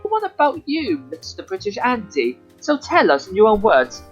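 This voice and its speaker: A man's voice: extremely high pitch, huge pitch swings, moderate pace, slightly rough. Guy, young, jokey co-host, muffled lo-fi sound with faint music. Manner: jokey, rambling with fillers. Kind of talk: casual live-stream chat. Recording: dull lo-fi mic, faint music.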